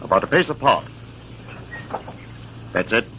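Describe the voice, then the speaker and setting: A man playing a brisk, formal army major, commanding in the manner of old-time radio drama. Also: medium pitch, animated, close to an everyday voice. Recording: dull, faintly noisy old radio transcription.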